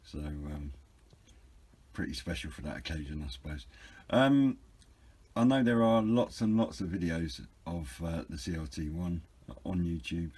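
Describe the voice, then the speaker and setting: A man's voice: deep voice, expressive, unhurried, darkish tone, slightly rough. A British man giving an informal, hesitant and understated hobby product review, rambling a little as he explains. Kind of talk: informal unboxing and review narration.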